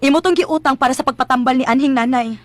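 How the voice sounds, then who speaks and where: An actress playing a feisty, self-sacrificing young daughter in a Filipino radio drama, resolute and earnest. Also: female voice, sits high, fast talker, dry recording, natural voice. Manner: resolute, earnest, a little sassy. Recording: music bed behind.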